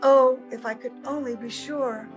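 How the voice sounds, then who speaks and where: Dreamy young bride, played by an actress, high-pitched, naive and emotional, old-time radio style. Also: female voice, fairly even intonation, normal speed, soft background music, lightly acted. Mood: tender, fearful. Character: romantic, breathlessly happy young bride, naive and anxious.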